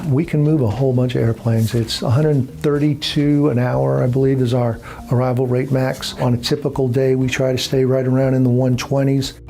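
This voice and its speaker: A man's voice: medium pitch, level tone, normal speed, creaky, warm tone. A man, an air traffic controller, explaining his work in an unscripted interview.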